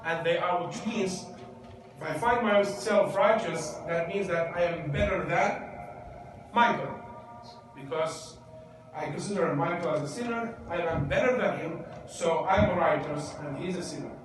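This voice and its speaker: A man's voice: sits high, measured pace. A man pastor giving a conversational Bible lesson, asking the congregation questions. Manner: conversational, asks the room questions. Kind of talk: interactive Bible teaching. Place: church. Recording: echoey church hall, distant mic, noisy.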